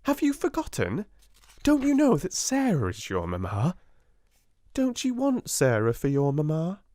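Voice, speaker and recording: A man reading a storybook, voicing a kind young schoolgirl with a calm, firm, coaxing manner. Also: mid-range voice, a little fast, no echo, studio quality, voice pushed up.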